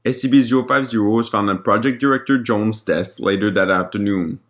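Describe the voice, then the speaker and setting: A man with a fairly low voice reads out a written log. His delivery is formal and report-like, as scripted narration of official records. Now and then he trips slightly on a word. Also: brisk.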